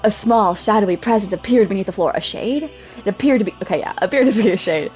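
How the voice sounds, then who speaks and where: A woman's voice: medium pitch, fast talker, a little mellow. Theatrical woman reading over music. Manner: theatrical, self-mocking, often breaking off into asides. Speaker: amateur reader. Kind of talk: mock-dramatic reading with casual asides.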